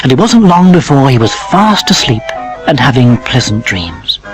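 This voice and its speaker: A man narrating a scripted children's fairy tale as an expressive storyteller. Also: medium pitch, normal speed, loud music behind the voice, poor audio.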